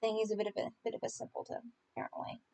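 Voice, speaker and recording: A woman hosting a story podcast, reading folk tales aloud with informal asides and stumbling haltingly over unfamiliar words. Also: a little high, flat delivery, vocal fry, mellow. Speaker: podcast host and story reader.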